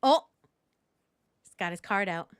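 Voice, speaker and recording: A woman's voice: mid-range voice, animated, unhurried, clean tone, dry recording, studio quality. A woman game streamer giving casual reaction commentary in a joking, slangy way.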